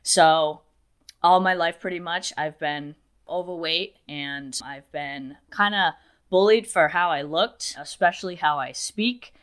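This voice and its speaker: Young woman guest, notably low voice, chatty storyteller who laughs often. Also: measured pace, a bit bright, smooth. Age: 20s. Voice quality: deep voice. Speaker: guest, makeup artist. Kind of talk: casual interview chat.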